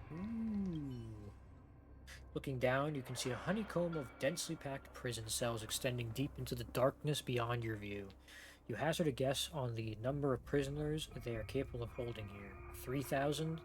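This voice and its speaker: A man doing casual game playthrough commentary, thinking aloud in an informal, sweary way and reading on-screen text. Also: medium pitch. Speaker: game streamer. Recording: game music behind the voice. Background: video game music.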